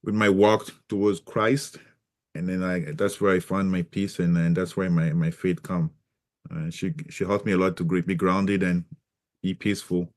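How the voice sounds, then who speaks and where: Soft-spoken low-voiced man with mixed accent. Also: fairly even intonation, normal speed. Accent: mixed French and Cameroonian. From Cameroon. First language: French. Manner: hesitant, many fillers and restarts. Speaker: guest. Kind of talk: casual interview chat.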